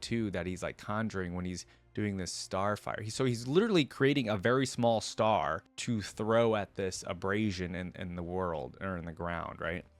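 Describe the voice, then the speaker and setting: A man reading a story aloud and breaking into casual, expressive, slangy commentary as a reaction host. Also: lowish voice, no room sound.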